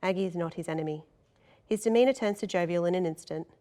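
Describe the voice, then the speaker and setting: Female narrator for a scripted drama read, lower register, delivering scene headings and action lines. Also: fast, mellow. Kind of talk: scripted narration of stage directions.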